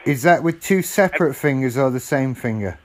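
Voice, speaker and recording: A man chatting casually on a phone call, acting slow, apologetic and easily confused. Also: mid-range voice, expressive. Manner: feigned slow, apologetic and muddled. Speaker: call recipient stringing a scammer along. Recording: phone call recording with faint noise.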